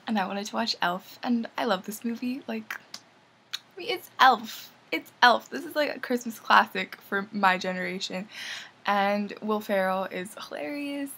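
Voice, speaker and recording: A woman's voice: mid-range voice, normal speed, vocal fry, sharp tone, husky. A young woman casually reviewing the films she watched, rambling and enthusiastic with lots of fillers. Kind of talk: casual movie review vlog.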